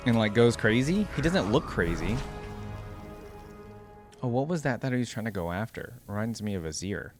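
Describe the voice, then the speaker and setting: A man's voice: lowish voice, sing-song, normal speed, pauses often, music in the background. A man giving casual reaction commentary as host, talking in an informal, slangy way and often exclaiming or musing aloud.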